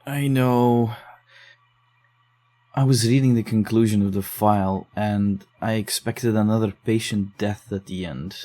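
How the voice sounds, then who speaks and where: A man voicing a rattled young man in a psychological horror audio drama, speaking in a lowish, mellow voice that turns defensive and uneasy as he recounts what happened. He talks quickly when he gets nervous. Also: fairly even intonation, lightly acted. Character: shaken young man investigating case files. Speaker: narrator and lead character.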